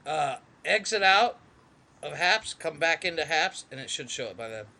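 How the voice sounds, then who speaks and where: A man's voice: a little high, very animated, moderate pace, sharp tone. A man co-hosting a casual live-stream podcast, easygoing as he reads lists aloud. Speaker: podcast co-host.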